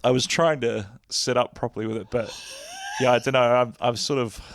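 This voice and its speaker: A man hosting a podcast in casual chat, with a deep bassy voice and a rambling, laid-back way of talking. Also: fairly even intonation, a little fast. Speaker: podcast host. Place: lying back while recording.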